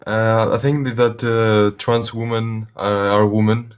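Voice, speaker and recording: An eighteen-year-old man, not an English speaker, calling in to a debate and speaking haltingly with many uhs. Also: lowish voice, level tone, clean tone. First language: Greek. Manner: halting, hesitant, many uhs, apologetic about his English. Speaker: caller. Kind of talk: casual call-in debate.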